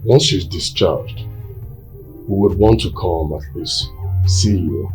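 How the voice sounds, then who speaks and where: A man's voice: low-pitched, slow pace, a little mellow, soft background music. An actor playing a polite, hesitant, grateful man in a film drama. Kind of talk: low-key film drama dialogue.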